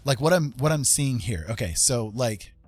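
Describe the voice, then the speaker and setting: A man's voice: medium pitch, animated, normal speed. A man chatting casually as he streams his painting, rambling and thinking aloud in a self-deprecating way. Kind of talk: casual livestream chat while painting. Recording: music in the background. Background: music.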